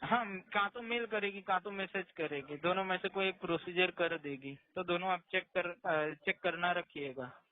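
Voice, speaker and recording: A man's voice: high-pitched, normal speed. A man working as a loan collection agent, explaining insistently and repeating himself on a phone call. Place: call centre, on a phone line. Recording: tinny, band-limited phone call recording.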